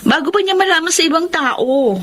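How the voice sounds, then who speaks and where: A woman's voice: very high voice, lively intonation, fairly quick, fairly bright tone. A woman playing a frank, concerned friend and confidante in a radio drama.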